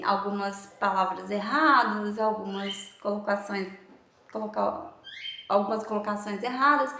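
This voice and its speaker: Earnest woman sharing a testimony, echoey room. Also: fairly high pitch. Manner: earnest, reflective, many pauses and fillers. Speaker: motivational speaker. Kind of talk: personal testimony to camera.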